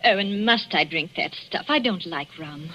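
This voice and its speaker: An actress with an English accent playing a young wife who answers anxiously and reluctantly, in 1940s radio drama acting on an old broadcast recording. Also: female voice, on the low side, lively intonation, normal speed, bright, forceful tone. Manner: anxious, reluctant, clipped replies. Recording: dull lo-fi 1940s radio broadcast with faint hiss.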